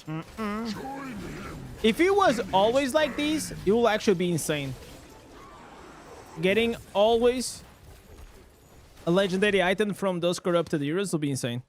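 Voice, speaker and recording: A man with a high voice for a man streams a game live, narrating his play in English. He bursts into excited reactions over loot and chats casually with his viewers. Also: a little slow, pauses often, a little hiss or hum. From Portugal. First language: Portuguese. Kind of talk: live gameplay commentary.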